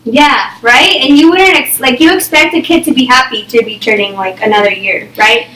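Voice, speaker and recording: A woman's voice: on the high side, normal speed, pushed and bright, a little hiss or hum. A young woman teaching a small reading group, thinking aloud and checking in with "okay?" and "right?" all the time. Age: young adult. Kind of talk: classroom think-aloud lesson. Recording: echoey room, far from the mic.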